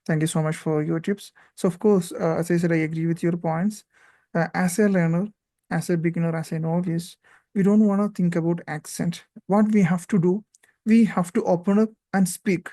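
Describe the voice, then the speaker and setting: Man, Indian accent, host, casual interview, mellow dark tone, dry close sound, encouraging, many fillers. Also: high voice, steady tone, normal speed, no echo. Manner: frequent fillers and tag questions, encouraging.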